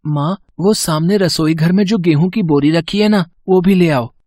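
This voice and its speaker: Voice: medium pitch, normal speed, warm tone, slight background noise, close to an everyday voice. A performer voicing a small, politely insistent hungry boy, a god in disguise, in a storybook tale. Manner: polite, demanding. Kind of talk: acted storybook dialogue.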